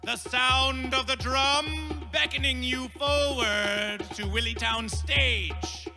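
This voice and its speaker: A man's voice: extremely high pitch, steady tone, takes it slowly, gravelly. A man working as a festival master of ceremonies, announcing in a grandiose, dramatic town-crier manner and stretching out key words. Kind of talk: theatrical stage announcing, chanted band introductions. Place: live festival stage. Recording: live stage sound, faint music behind.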